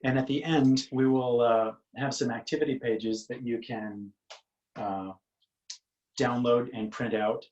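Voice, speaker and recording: A man reads a picture book aloud for small children at a slow pace. He is the author, and his delivery is singsong and invites children to join in. The recording is a little dull. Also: mid-range voice, fairly even intonation, darkish tone, roomy sound. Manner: singsong, questioning, inviting participation.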